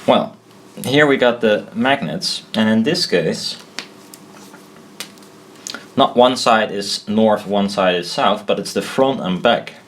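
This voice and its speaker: Low-voiced man explaining slowly, thinking aloud. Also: fairly bright tone, slight background noise. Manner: halting, thinking aloud, self-correcting. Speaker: presenter of a hobbyist explainer video. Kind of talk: informal hand-drawn technical tutorial.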